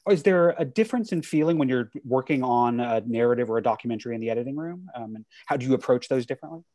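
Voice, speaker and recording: Thirties man, moderator, quick delivery, dry close earbud-mic sound. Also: mid-range voice, animated, dark tone, no echo. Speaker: film programmer and moderator. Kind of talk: reading audience questions. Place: home room. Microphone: earbud headset on a video call.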